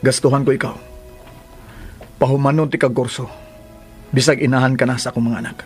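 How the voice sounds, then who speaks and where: Actor as coaxing Cebuano suitor, faint music behind. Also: male voice, mid-range voice, fairly even intonation, a little fast, lightly acted. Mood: earnest, coaxing. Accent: Cebuano Filipino. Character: generous, insistent male suitor courting a young woman. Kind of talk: radio drama acting.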